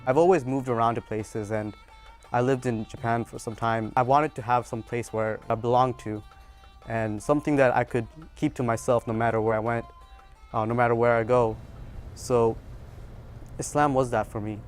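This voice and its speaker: A man's voice: medium pitch, steady tone, moderate pace, faint music behind. A young man from Bangladesh speaking in a thoughtful, slightly hesitant way in an interview.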